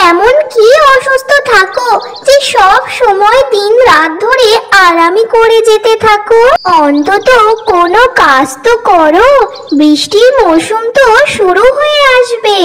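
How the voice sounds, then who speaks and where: A little cartoon mother bird with an extremely high, childlike voice. She speaks slowly and earnestly, as a caring, hardworking creature of a children's fable. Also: fairly bright tone, full character voice. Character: hardworking, caring small female tailorbird mother. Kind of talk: cartoon story character dialogue.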